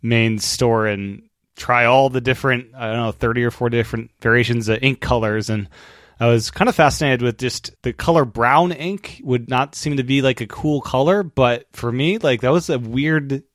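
A man's voice: medium pitch, moderate pace, no echo, clean studio sound. An American man talking as a podcast host, in a conversational, slightly wordy way. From United States. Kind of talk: podcast intro and interview chat.

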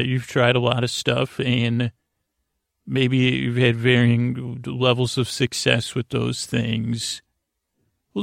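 A man with a creaky voice hosts a sleep podcast, rambling soothingly and a little goofily, stammering and wandering off into tangents. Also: mid-range voice, no echo, clean studio sound. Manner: meandering, halting, stammering, trailing off into tangents; soothing, lulling, goofy. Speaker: podcast host. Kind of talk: rambling bedtime monologue to help listeners sleep.

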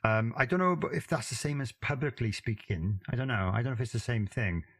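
A man from Wales with a Welsh accent, talking modestly in a casual interview, with frequent halting restarts. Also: medium pitch, a bit bright. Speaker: drummer, interview guest.

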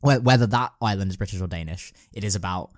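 A man with a fairly low voice chats casually as a podcast co-host. He works through his thoughts out loud, backtracking and rephrasing as he goes. The sound is very dry, with no room to it. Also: quick pace, dry recording. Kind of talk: casual chat about staging a radio play.

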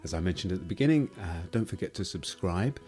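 A man's voice: deep voice, lively intonation, fast, darkish tone, faint music behind. A man, a podcast host reading an announcement to listeners.

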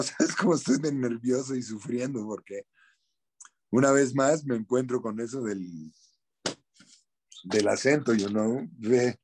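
A middle-aged man with a Mexican accent talks frankly in an interview. His voice sits at an ordinary middle pitch. He drops in slang and loops on repeated words while he hunts for the right phrase. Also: darkish tone. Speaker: actor, interview guest. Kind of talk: casual interview.

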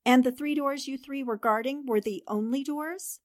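A woman playing a sharp, commanding newspaper columnist who questions briskly in a 1940s-style radio drama. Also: sits high, lightly acted. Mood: insistent.

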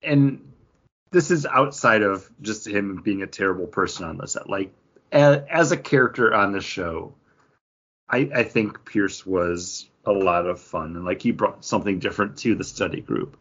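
A man co-hosting a podcast, chatting casually in a husky voice with halting phrasing. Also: fairly low pitch, a bit bright, highs cut off. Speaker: podcast co-host. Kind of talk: casual chat.

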